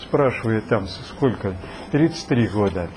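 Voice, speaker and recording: A man's voice: lowish voice, lively intonation, moderate pace. A man in his seventies rambling through a folksy personal story in a group talk, full of fillers. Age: 70s. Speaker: participant. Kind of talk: casual storytelling. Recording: noisy recording.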